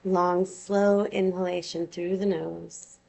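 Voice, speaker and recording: A woman leads a guided meditation in a fairly low voice with a lot of vocal fry. She speaks very slowly, in soothing phrases with long pauses, as a yoga nidra teacher giving gentle instructions. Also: flat delivery.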